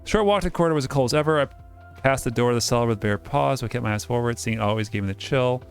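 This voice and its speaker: A man's voice: mid-range voice, rapid-fire, soft background music. A man doing a casual let's-play, reading game narration aloud and joking about the text as he goes. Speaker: game streamer reading a visual novel aloud.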